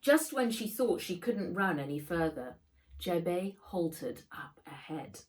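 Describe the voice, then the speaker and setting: A woman reading a children's adventure book aloud in expressive narration, as an author reading her own work. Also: on the low side, slow.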